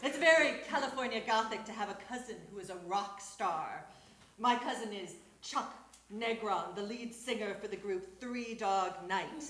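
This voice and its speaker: A woman's voice: medium pitch, normal speed. A woman reading a personal essay aloud to an audience at a live storytelling show. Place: small theatre stage. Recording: roomy live stage recording with background noise.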